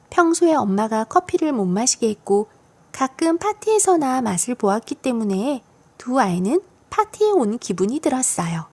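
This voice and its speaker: Animated high-voiced woman storybook narrator. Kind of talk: scripted children's audiobook narration.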